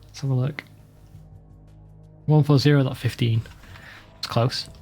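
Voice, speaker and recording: Mellow, creaky-voiced man doing game commentary. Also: mid-range voice, fairly even intonation, a little slow. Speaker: let's play host. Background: game music, keyboard typing.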